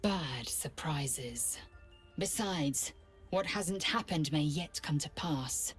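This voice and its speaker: A deep, heavily rasping female voice for a haughty alien warrior in a fantasy game. She is clipped, commanding and disdainful. Music plays behind her. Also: takes it slowly, exaggerated voice. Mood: grim, stern. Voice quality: husky, raspy. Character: stern, haughty alien githyanki warrior woman. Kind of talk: fantasy video game acting.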